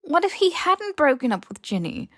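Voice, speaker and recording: A woman's voice: sits high, sing-song, moderate pace, a bit bright, no echo, studio quality, voice pushed up. A woman playing a guilt-ridden, defensive young male wizard hero in a story reading. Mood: anguished.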